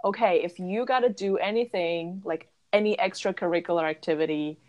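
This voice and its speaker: A woman in her thirties from China, a guest English teacher, talking in an unhurried, thoughtful way with frequent fillers. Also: lowish voice, darkish tone, muffled recording. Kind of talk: casual interview chat.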